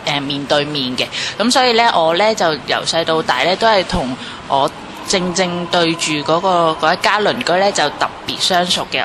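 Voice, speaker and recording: A woman with a Hong Kong Cantonese accent casually recalling things in an interview, in halting phrases. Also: lowish voice. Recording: noisy ambient location, vehicle sounds.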